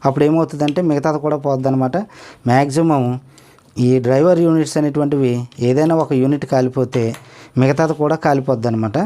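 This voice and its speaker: A man walks viewers through a speaker repair, talking quickly and steadily as he works. His voice is dark and mellow, and he keeps pointing things out step by step. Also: mid-range voice, fairly even intonation. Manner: explains step by step, often asks the viewer to look. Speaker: electronics repair tutor. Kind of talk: hands-on repair tutorial.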